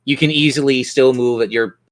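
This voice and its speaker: A man's voice: medium pitch, steady tone, a little fast. A man narrating a tabletop role-playing game as game master, with theatrical, descriptive storytelling.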